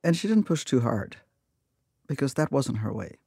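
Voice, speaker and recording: A narrator from Russia telling a story in a measured, matter-of-fact way. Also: male voice, mid-range voice, sing-song, vocal fry, darkish tone, breathy edge, dry recording, clean studio sound. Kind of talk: scripted radio narration.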